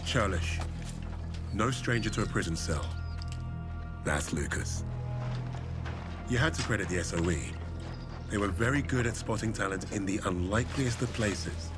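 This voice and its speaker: An actor narrating a war story as a dry, clipped soldier. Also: male voice, on the low side, moderate pace, pauses often, music in the background, close to an everyday voice. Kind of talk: scripted game narration.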